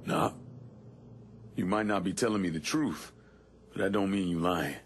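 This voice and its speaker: Deep-voiced, tough Southern American avenger. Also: male voice, normal speed, husky. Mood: quietly probing. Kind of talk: dramatic game cutscene acting. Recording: faint music under the voice.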